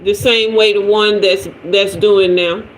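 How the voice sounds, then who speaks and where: A woman's voice: mid-range voice, steady tone, unhurried. A woman in her fifties hosting a tarot livestream, chatting loosely with viewers in a slangy, conversational way. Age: 50s. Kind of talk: casual livestream chat reading comments. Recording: roomy echo with faint noise.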